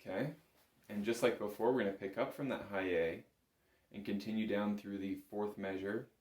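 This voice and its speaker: Male music instructor, deep voice, informal step-by-step teaching delivery, echoey room sound. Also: fairly even intonation, moderate pace, a little mellow, slight background noise. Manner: informal, instructive, counting out notes. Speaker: bagpipe instructor.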